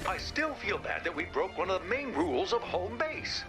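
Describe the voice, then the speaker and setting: A high, wildly animated oddball teammate. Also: male voice, normal speed, loud music behind the voice. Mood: earnest. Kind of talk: animated video game voice acting.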